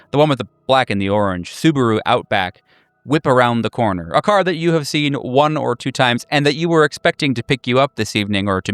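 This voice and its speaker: A man's voice: mid-range voice, a bit bright, smooth, no room sound. A man improvising narration as a game master, conversational and hesitant as he finds his words.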